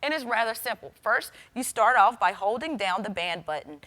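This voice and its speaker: A woman's voice: a little high, expressive, normal speed, sharp tone. A woman weather forecaster presenting an explanatory how-to product demonstration, speaking directly to the viewer. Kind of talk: instructional product demonstration to camera.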